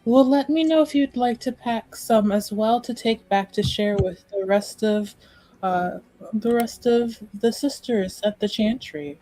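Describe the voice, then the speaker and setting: A woman voicing a gracious, tactful noblewoman with a courteous, measured way of speaking. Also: a little high, warm tone, faint music behind, voice pushed up, close to an everyday voice, low-quality recording.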